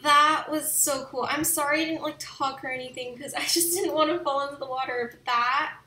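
A young woman giving casual, slangy let's play commentary on a video game in an excitable, gushing way. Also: sits high, a little fast, reverberant, recorded from a distance. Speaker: video game streamer and channel host.

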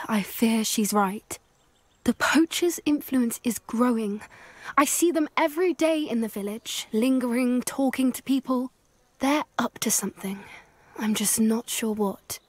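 A woman's voice: a little high, unhurried, dry recording. An actress playing a determined young woman who protects a hippogriff, in a video game. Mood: worried. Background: faint game music.

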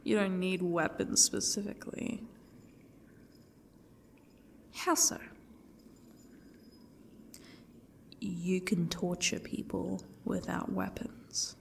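A woman playing a defiant, sardonic captive in an audio roleplay, speaking haltingly and often sinking toward a whisper. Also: fairly low pitch, steady tone, slight background noise, exaggerated voice. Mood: sarcastic, taunting. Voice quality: husky, breathy, creaky. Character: defiant, sardonic captive woman facing her ex's torture. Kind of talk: audio roleplay, one-sided dialogue.

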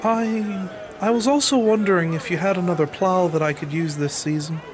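Man playing a high-voiced earnest peasant farmer. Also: normal speed, a little hiss or hum, close to an everyday voice. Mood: hesitant. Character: earnest, humble medieval peasant farmer and father. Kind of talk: audio drama acting and narration.

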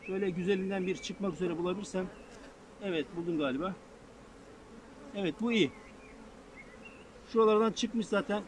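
A man's voice: sits high, a little fast, long pauses, slight background noise. Brief: man with a raised, light voice, hands-on beekeeping teacher talking as he works, faint buzzing field behind. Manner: practical, addresses viewers as friends, thinks aloud while working. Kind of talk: casual outdoor how-to lesson. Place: open field beside beehives, outdoors. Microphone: far camera on a tripod.

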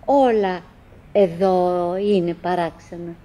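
An actress playing a chattering, wistful, nagging wife who clings to cheer, in halting, repetitive theatrical monologue for an absurdist radio play. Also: female voice, on the low side, steady tone, mellow, lightly acted. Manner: halting, repetitive, theatrical, mimicking remembered dialogue.

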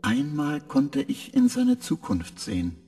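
A dazed machine mind, a male spacecraft AI played by a man in a radio play, drifting between short flat answers and eerie visions. His voice is dark and gravelly, swinging widely in pitch, slow and unhurried, with music faintly under it. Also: exaggerated voice. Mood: entranced.

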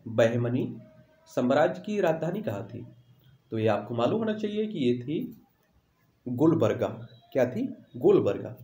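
Mellow-voiced male teacher in an echoey room. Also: mid-range voice, big pitch swings, measured pace. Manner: instructive, repeats key points. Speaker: exam-prep teacher. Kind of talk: explanatory quiz lesson with study advice. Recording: roomy, echoing voice-over.

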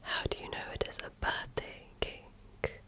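A woman whispering breathily in a gentle, deliberate, patient way, as an examiner in an ASMR roleplay. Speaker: ASMR performer playing a medical examiner. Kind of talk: ASMR roleplay, soft-spoken personal attention.

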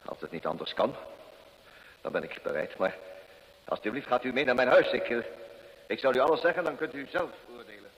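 Proud desperate country gentleman, rough husky voice, emphatic old radio play style, medium pitch. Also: lively intonation, a little fast, pauses often, dull audio. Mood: anxious, pleading. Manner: theatrical, earnest, emphatic. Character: desperate, proud gentleman landowner, indebted and fervent. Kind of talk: old-time Dutch radio drama acting.

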